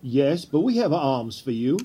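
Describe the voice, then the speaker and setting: Man playing a husky, theatrical conspiracy ringleader. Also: mid-range voice, very animated, unhurried, mellow. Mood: tense, directing. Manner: theatrical, formal, grandiose. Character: proud, commanding male actor-turned-assassin ringleader, secretly nervous. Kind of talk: historical audio drama acting.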